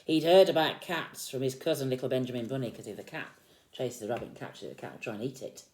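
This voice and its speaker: A woman reads a picture book aloud to young children like a teacher, expressively, with gently explained asides. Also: extremely low pitch, fast, echoey room, a little hiss or hum. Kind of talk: animated storybook read-aloud with explanatory asides.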